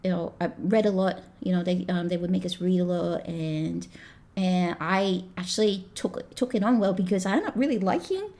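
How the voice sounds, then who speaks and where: A woman's voice: on the low side, normal speed, warm tone. A woman in her thirties from Malaysia, chatting as a podcast guest in an accent that mixes Australian, British and American sounds, with lots of fillers and restarts. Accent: mixed Australian, British and American. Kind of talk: casual chat.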